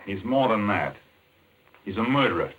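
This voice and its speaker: An actor playing an earnest, indignant doctor in a 1940s radio mystery, with urgent, clipped, demanding delivery. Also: male voice, lowish voice, animated, normal speed, slightly rough, phone-quality sound. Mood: accusing. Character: earnest, indignant male doctor caught up in murder.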